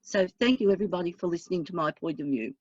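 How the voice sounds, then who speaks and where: Husky woman councillor. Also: on the low side, a little mellow, no echo. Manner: earnest, measured, plain-spoken. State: hoarse from lingering smoke. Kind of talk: council meeting speech. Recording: dry, dull lo-fi meeting audio.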